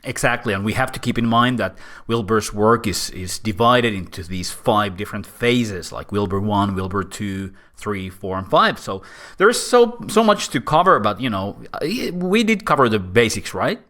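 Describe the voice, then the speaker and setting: A man with a Finnish accent, explaining a theory casually to camera in a playful, animated way. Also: normal speed. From Finland. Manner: animated, swooping pitch, playful asides. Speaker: host and philosopher.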